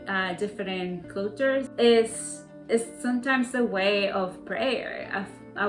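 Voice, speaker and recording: Woman from Ecuador, echoey kitchen. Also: medium pitch. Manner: warm, reflective, with many fillers and restarts. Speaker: community contributor. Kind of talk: cooking demonstration narration. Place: home kitchen.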